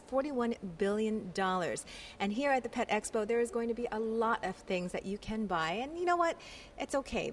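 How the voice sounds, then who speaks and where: A woman's voice: fairly high pitch, slight background noise. A woman in her thirties, a TV news reporter, giving an upbeat, chatty live morning report from a large indoor expo hall into a handheld mic. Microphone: handheld station mic.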